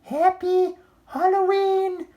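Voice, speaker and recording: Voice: extremely high pitch, little pitch movement, slow, warm tone, voice pushed up. A storyteller voicing a doting, gushing mother dachshund in a storybook, with a cooing, singsong call. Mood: doting excitement.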